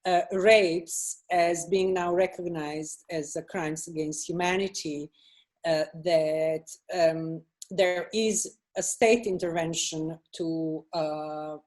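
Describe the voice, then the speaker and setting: A woman's voice: sits low, steady tone, slow pace, a little mellow. A woman moderating a panel discussion, thoughtful and hesitant, with many fillers.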